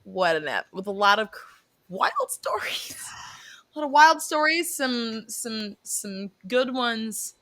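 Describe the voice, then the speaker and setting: A woman's voice: fairly high pitch, lively intonation, slow, bright, forceful tone. A young woman co-hosting a podcast, chatting casually and animatedly, laughing often and swearing now and then. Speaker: podcast co-host. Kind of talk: casual chat.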